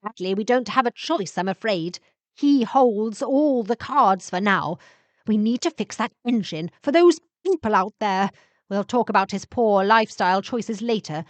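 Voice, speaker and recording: A woman's voice: medium pitch, lively intonation, moderate pace, no room sound, lightly acted. A woman voicing a proud, somewhat arrogant male vampire professor who explains things emphatically in a sci-fi story. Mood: reluctant.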